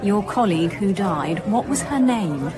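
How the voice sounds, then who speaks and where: Brisk female detective played by an actress, crowd behind. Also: mid-range voice, lively intonation, lightly acted. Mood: probing. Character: brisk, sceptical female detective chief inspector. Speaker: police detective. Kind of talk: naturalistic radio drama acting.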